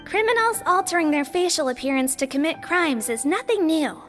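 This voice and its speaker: Matter-of-fact officer. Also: female voice, high-pitched, expressive, moderate pace, fairly bright tone, faint music behind. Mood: informative. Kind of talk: video game dialogue.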